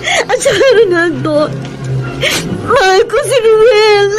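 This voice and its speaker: A woman's voice: very high voice, lively intonation, slow pace, over music, full character voice. A woman playing a lovelorn, troubled young woman in a Filipino radio drama, speaking plaintively. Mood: crying, pleading. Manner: plaintive, emotional.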